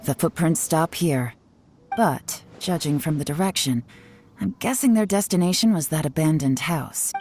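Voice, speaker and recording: Casting: cool, self-assured woman investigator in an anime game, deep smooth-dark tone, deliberate delivery. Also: animated, a little hiss or hum. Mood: shrewd. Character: cool, shrewd woman investigator leading a covert inquiry. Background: game music.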